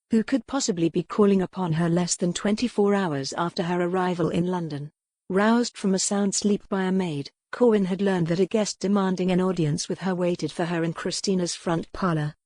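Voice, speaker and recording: Lower-voiced woman narrating an audiobook. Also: a little mellow, no room sound. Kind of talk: scripted narration of historical romance.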